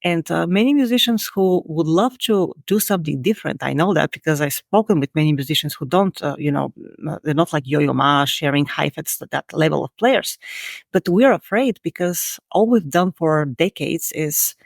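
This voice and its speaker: Female guest, 40s, Bulgarian accent, gravelly texture, earnest and self-critical storyteller. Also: deep voice, animated, moderate pace, dry recording, clean studio sound. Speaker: podcast guest. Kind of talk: reflective interview answers.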